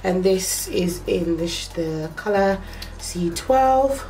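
A woman's voice: fairly low pitch, lively intonation, mellow, rough recording. A young woman with a cold, sounding nasal, chatting step by step through a makeup tutorial in her bedroom, close to the camera. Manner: slow, chatty step-by-step talk with fillers. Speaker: makeup vlogger. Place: small bedroom indoors. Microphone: camera close up to the face.

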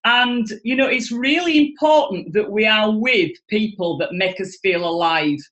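A middle-aged woman with a broad Yorkshire accent talks with lively enthusiasm. She speaks over a webcam from a room at home, in a mid-range voice. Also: mid-range voice, unhurried, lo-fi sound. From Yorkshire, England. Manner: enthusiastic, expressive, gestures a lot. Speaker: guest speaker. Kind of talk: animated motivational talk. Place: home room, indoors, video call. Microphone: video call webcam.

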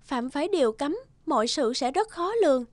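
A woman voicing a devoted young fairy wife in a cartoon, speaking softly in a formal, archaic way. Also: sits high, animated, brisk, no echo. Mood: solemn. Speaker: voice actor. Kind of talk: cartoon dubbing.

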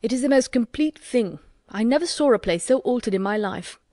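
A woman voicing an eager, rambling young gentleman who gushes emphatically. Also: fairly high pitch, expressive, a little fast, no echo, studio quality, voice pushed up. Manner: gushing, emphatic.

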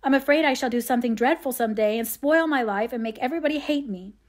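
A woman's voice: high-pitched, fast talker. A woman voicing a passionate, remorseful fifteen-year-old girl in a storybook, earnest and pleading. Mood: anguished pleading.

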